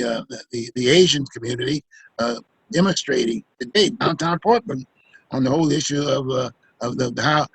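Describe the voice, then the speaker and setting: A man hosting a community talk panel, his voice gravelly and a bit husky. He sits fairly high for a man and halts often, repeating words while he hunts for the next one. The sound is dry and close. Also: dry recording, poor audio. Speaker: talk show host. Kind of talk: public affairs panel talk.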